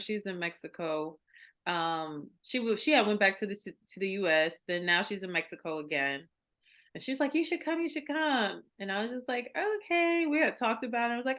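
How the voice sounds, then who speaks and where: A woman, interviewing on a video call from a room at home, telling animated stories and acting out quoted lines. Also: medium pitch, fairly quick. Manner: animated storyteller, acts out quoted lines, laughs often.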